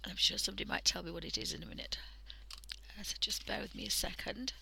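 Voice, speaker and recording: A woman with a croaky voice from a cold, chatting casually through a drawing tutorial on a headset mic and often dropping into half-whispers. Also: medium pitch, fast, pushed and bright, a little hiss or hum. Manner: chatty, thinking aloud, drifting into half-whispers. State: hoarse from a cold. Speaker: art livestream host.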